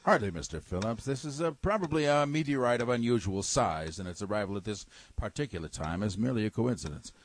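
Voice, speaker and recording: A man playing a calm, dismissive, learned professor in a 1930s radio play, speaking formally and with measured assurance. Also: lowish voice, no room sound.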